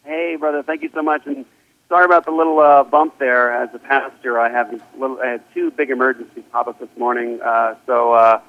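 A middle-aged man speaks earnestly as an interview guest, rambling a little and filling pauses. His voice comes through a tinny, dry telephone line with no room sound. His pitch sits in the middle for a man. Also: steady tone, dry recording. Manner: earnest, rambling with many fillers. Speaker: pastor, guest. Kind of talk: casual phone interview. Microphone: phone.

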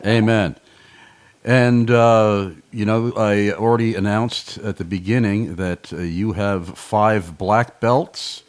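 A man's voice: lowish voice, steady tone, takes it slowly. A man hosting a radio interview in a jovial, joking way. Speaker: radio host.

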